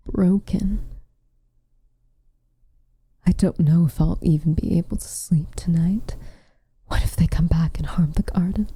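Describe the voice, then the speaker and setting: A woman playing a protective, brooding, weary but tender witch in an ASMR roleplay, speaking softly and gravely, often in a whisper, close to the mic. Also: fairly low pitch, heavily acted. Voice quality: soft, breathy, often whispering. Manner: measured, grave, formal phrasing. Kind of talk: ASMR roleplay, slow intimate acting. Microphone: close microphone, dry.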